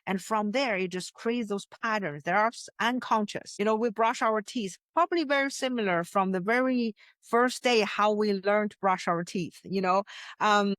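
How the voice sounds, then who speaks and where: A woman's voice: medium pitch, moderate pace, fairly bright tone, no echo. A woman from China, a success coach, telling her story with earnest emphasis and frequent restarts. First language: Chinese. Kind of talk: interview conversation.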